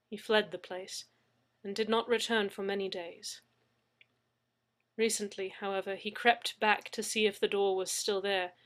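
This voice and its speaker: A woman reading a serialized story aloud in a measured way. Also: medium pitch, a bit bright. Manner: measured narration. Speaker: reader.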